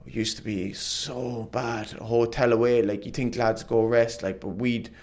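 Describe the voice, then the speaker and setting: Man, young adult, casual rambling storyteller, frequent laughs, mid pitch. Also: steady tone, a little slow, a little mellow. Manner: rambling, lots of "like", laughs often. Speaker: guest, former footballer.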